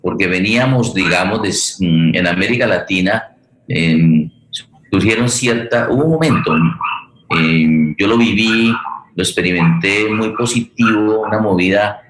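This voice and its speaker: A Colombian man with a very low voice hosts a discussion in a conversational way. He often repeats himself while searching for words. The sound has a strong room echo. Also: a bit bright, slight background noise. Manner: halting, searching for words with repetitions. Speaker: forum host. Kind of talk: conversational political and cultural discussion.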